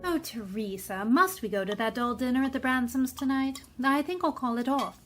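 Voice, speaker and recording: A woman's voice: sits high, normal speed, music in the background, close to an everyday voice. A woman playing a breezy, bored wealthy society matron with a languid, teasing way, in a radio drama. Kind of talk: old-time radio drama acting.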